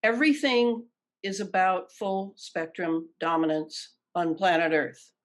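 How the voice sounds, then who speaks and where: An older woman with an American accent answering in an interview, deliberate and emphatic, repeating phrases for weight. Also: lowish voice, fairly even intonation. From Detroit, United States. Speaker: interview guest.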